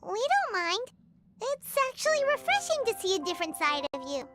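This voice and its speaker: A woman's voice: very high-pitched, big pitch swings, a little fast, heavy background noise. A woman voicing a tiny, childlike fairy companion in a video game, with a chirpy cartoonish manner. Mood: reassuring. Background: game music.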